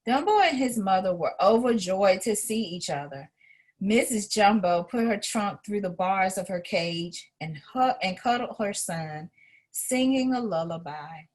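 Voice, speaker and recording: A woman reading a children's story aloud in an expressive way, as an educator would to a young listener. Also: medium pitch, measured pace.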